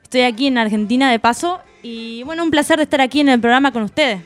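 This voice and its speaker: A woman's voice: high voice, quick pace, soft background music, close to an everyday voice. A woman doing an earnest young male folk singer. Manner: earnest, solemn, a bit pretentious. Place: radio studio.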